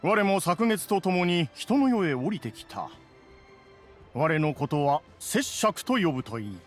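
A dignified mountain immortal from an anime game. He speaks in measured, archaic phrases with a grumbling, reproving edge. His pitch is a bit high, over faint music. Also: big pitch swings.

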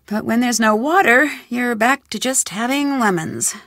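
A woman's voice: a little high, measured pace, fairly bright tone, no echo, clean studio sound. A woman voicing a curious, determined young woman detective in a game, thinking aloud as she searches for clues. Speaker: game heroine. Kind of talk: game voice lines.

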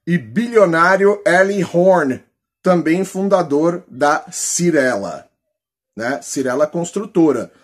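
A man in his forties with a Brazilian accent and a slightly husky voice, giving colloquial, opinionated vlog commentary with emphasis. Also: a little high. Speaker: political YouTuber. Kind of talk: vlog commentary, reading posts aloud. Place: small plain room indoors.

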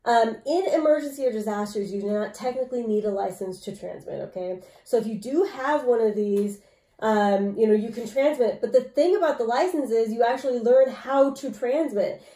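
Mellow woman, distant in an echoey room. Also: fairly high pitch, normal speed. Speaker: co-host giving advice. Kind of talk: casual explainer chat. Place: small room at home, indoors.

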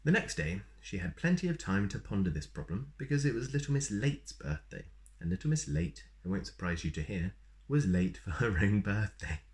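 A man in his thirties reading a children's story aloud in an expressive, playful way. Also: on the low side, moderate pace. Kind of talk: animated read-aloud with asides to young listeners. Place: small plain room indoors.

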